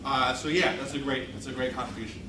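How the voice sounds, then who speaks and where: A man's voice: medium pitch, steady tone, fast. A man facilitating a group workshop discussion in a classroom, speaking thoughtfully with frequent hesitant restarts. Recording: distant roomy classroom mic with noise.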